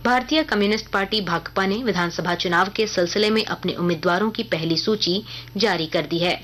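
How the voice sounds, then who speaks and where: A woman news reader delivering a scripted regional radio bulletin in a formal, measured announcing manner. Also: mid-range voice, a bit bright, slight background noise.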